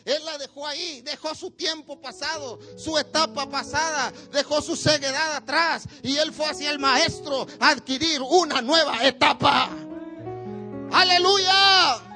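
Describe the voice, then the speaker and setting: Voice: high-pitched, steady tone, moderate pace, few pauses, bright, forceful tone, faint music behind. A preacher delivering a sermon at a church service, with emphatic, repetitive exhortation.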